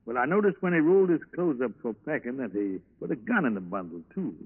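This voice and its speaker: Actor as a lively, halting male store clerk. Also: mid-range voice, noisy background, natural voice. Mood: matter-of-fact, recalling. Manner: halting, matter-of-fact. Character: folksy, hesitant clothing store clerk. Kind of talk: 1940s radio crime drama acting. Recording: tinny, band-limited 1940s radio recording.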